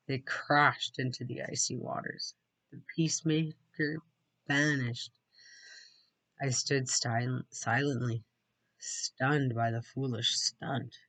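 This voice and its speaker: A man's voice: mid-range voice, a bit bright, no echo, rough recording. A man reading a storybook aloud, sometimes dropping into casual asides. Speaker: read-aloud narrator.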